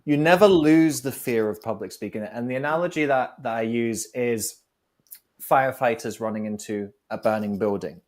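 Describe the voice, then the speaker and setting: A man's voice: medium pitch, normal speed, darkish tone. A man, a guest on a talk show, reflectively giving advice and building his points with analogies. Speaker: guest speaker.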